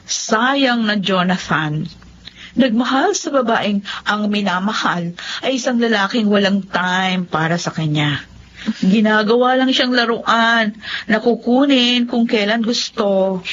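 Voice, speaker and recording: A woman's voice: medium pitch, takes it slowly, fairly bright tone, muffled recording, a little hiss or hum. An actress in a Filipino radio drama playing a frank, concerned female best friend. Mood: sympathetic, critical.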